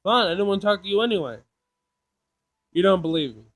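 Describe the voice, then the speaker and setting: A man's voice: sits high, animated, quick pace, fairly bright tone. A man doing a casual let's play, reading game text aloud and reacting in an informal, sassy way. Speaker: gaming video player.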